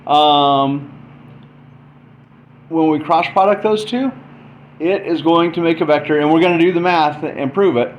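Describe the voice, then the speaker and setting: A man's voice: fairly high pitch, unhurried, pauses often. A man giving an informal explainer, thinking aloud in short, stop-start phrases as he demonstrates. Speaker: presenter explaining math. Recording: dull lo-fi mic, faint background noise.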